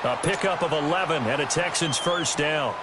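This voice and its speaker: A man's voice: on the high side, normal speed, lightly acted. A man with an American accent calls American football play by play in clipped, projected announcer phrases. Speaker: play-by-play sports commentator. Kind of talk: American football game commentary. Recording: very noisy, game sound over voice. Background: stadium ambience from a video game.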